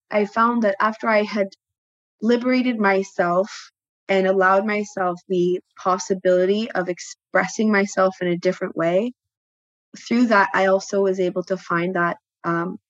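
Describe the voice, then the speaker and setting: A woman whose first language is French, a guest speaking reflectively with frequent pauses and restarts. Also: medium pitch, dry recording, lo-fi sound. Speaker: podcast guest, tattoo studio co-owner. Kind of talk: personal interview talk.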